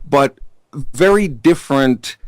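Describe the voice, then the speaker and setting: A man with a New York accent and a deep baritone voice, answering interview questions in a rambling, chatty way full of fillers and restarts. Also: animated, slow pace, no echo. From Staten Island, New York. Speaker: bass player in a rock band.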